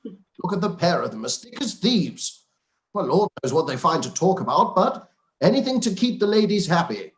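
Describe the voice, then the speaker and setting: A dry, gently mocking older father, played by a man in a live-read radio play. His lively voice rises and falls as he teases, and it sits a little high for a man. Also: normal speed. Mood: jovial.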